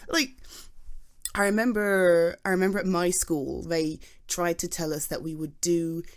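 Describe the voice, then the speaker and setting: A woman's voice: lowish voice, steady tone, a little slow. A British woman playing a chatty, flustered geology nerd in an intimate audio roleplay, rambling fondly and animatedly as she explains things to someone close. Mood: animated, indignant. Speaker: ASMR roleplay voice performer.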